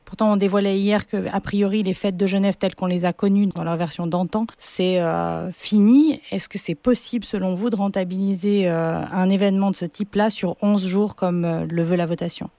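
A woman's voice: medium pitch, fairly even intonation, moderate pace, few pauses, dark tone, no echo. A woman working as a journalist, putting composed, probing questions in a news interview.

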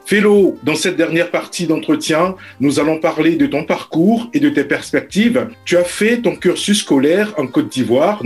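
A man with a husky, slightly rough voice hosts a radio interview. He asks his questions in a formal, measured way, with soft music playing faintly behind him. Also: medium pitch. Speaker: radio host.

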